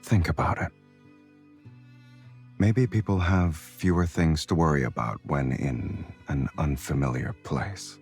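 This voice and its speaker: A man playing a calm, confident, teasing romantic hero in a game, speaking in a composed, intimate way. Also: rumbling low, a little slow, breathy edge, heavily acted. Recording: music behind the voice.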